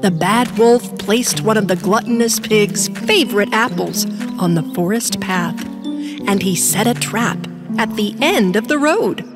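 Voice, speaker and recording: A woman narrating a children's bedtime story in an expressive storytelling manner. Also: medium pitch, measured pace. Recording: music bed behind.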